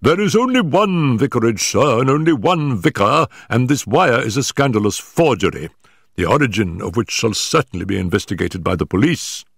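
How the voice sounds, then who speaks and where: A man's voice: medium pitch, very animated, normal speed, gravelly, breathy edge, no echo, clean studio sound, pitched above the performer's own voice, heavily acted. A man voicing a big, solemn, pompous male clergyman who speaks in a stiff, indignant way in a storybook reading.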